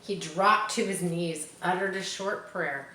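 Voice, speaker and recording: A woman reading a children's story aloud in an expressive narrating style. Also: lowish voice, unhurried. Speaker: audiobook reader. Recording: echoey room, faint background noise.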